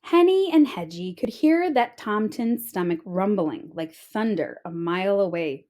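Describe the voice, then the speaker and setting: A woman reading a children's storybook aloud with expressive narration. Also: mid-range voice, unhurried.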